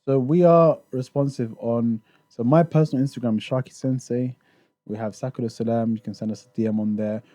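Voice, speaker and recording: A man's voice: medium pitch, steady tone, fairly quick, warm tone, dry recording. A man raised in the UK, talking casually as a podcast guest about touring in Japan, with many restarts and fillers. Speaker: guest, Japan tour organizer.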